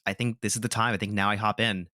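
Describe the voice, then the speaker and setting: Low voiced guy hosting a game podcast, chatty, says like and um a lot, dry close sound. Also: flat delivery, quick pace, pushed and bright, dry recording. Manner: chatty and opinionated, many filler words. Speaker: podcast host. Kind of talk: casual chat.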